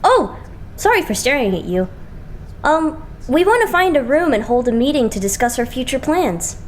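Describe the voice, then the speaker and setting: A woman's voice: sits high, very animated, moderate pace, a little hiss or hum. A woman voicing an eager, chatty teenage boy idol in an anime-style fan dub, earnest and easily starstruck. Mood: polite, flustered.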